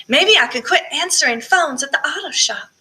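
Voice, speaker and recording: A woman's voice: high-pitched, lively intonation, normal speed, pushed and bright, voice pushed up, exaggerated voice. A woman voicing a cheerful, hopeful, playful mother in an audiobook story.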